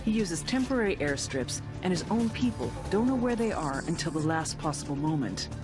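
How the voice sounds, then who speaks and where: A woman's voice: on the low side, animated, fairly quick, natural voice. A hard-nosed agent played by a woman narrates a mission briefing over music. She is businesslike and dryly wry, in the manner of a military game cutscene.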